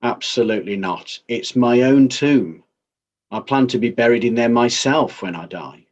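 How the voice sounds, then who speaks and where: Guy playing firm wealthy councillor testifying, lowish husky voice, slightly muffled recording. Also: normal speed, lightly acted. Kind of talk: dramatized courtroom testimony.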